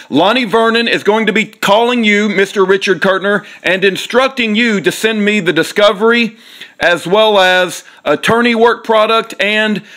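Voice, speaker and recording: A man's voice: high-pitched, a bit bright. A man leaving a stern, accusatory, emphatic complaint as a voicemail. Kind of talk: formal complaint left by voicemail.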